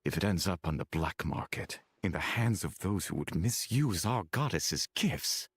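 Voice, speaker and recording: A solemn guardian from a video game, with a fairly low voice. He is devout and suspicious, and he speaks in formal, measured phrases. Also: animated, slightly rough, heavily acted. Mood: grave, disapproving. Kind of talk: video game dialogue acting.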